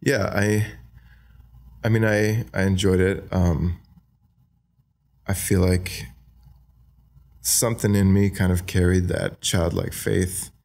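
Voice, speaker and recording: A young man in his twenties with an American accent, raised in Australia, speaks softly as a podcast guest. His very low voice has a gravelly edge and a dark, mellow tone. He pauses often to think, filling gaps with ums. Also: level tone. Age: 20s. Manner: soft-spoken, thoughtful, with frequent ums. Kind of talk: casual interview talk.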